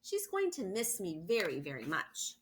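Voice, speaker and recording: A woman's voice: fairly low pitch, huge pitch swings, moderate pace, a little hiss or hum. A woman voicing an earnest, sweet first-grade boy in a children's storybook. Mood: wistful.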